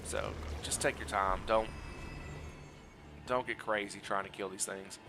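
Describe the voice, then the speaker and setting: A man streaming a video game while sick, hoarse and scratchy, talking through his play in a relaxed, explanatory way and greeting his chat. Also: a little slow. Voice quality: scratchy, low energy. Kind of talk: casual gameplay walkthrough commentary.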